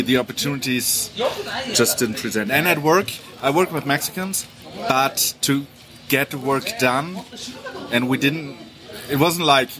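A man speaking English with a German accent, chatting casually on a podcast in a thoughtful, hesitant, self-deprecating way. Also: mid-range voice. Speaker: podcast co-host. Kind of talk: casual chat. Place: Japanese supermarket eatery. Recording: chatter of a crowd behind.

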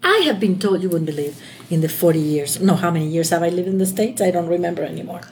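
An outspoken woman in her seventies from Chile speaks with animated frankness. Spanish is her first language, and she talks in casual, lively bursts as a podcast guest. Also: on the low side, fast talker, a little hiss or hum. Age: 70s. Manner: animated, outspoken, laughs often. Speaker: podcast guest, host's mother. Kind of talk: casual chat.

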